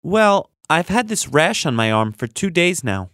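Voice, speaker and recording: A man's voice: on the high side, big pitch swings, a little slow, fairly bright tone, no echo, studio quality. A man playing a patient in a scripted language lesson dialogue, speaking plainly. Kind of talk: scripted role-play.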